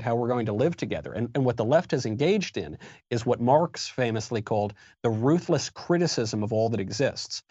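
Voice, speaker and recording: A man speaking as a guest on a political talk show, emphatic and argumentative, often pushing his pitch up to land his points. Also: a little mellow, no room sound, dull audio. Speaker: guest commentator.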